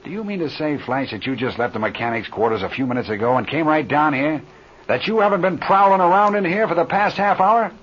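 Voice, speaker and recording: An actor playing a commanding, teasing pilot in a 1930s radio serial, with a brisk, questioning manner. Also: male voice, on the high side, lively intonation, a bit bright. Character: commanding, teasing male pilot, senior flying partner. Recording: muffled, noisy old radio recording.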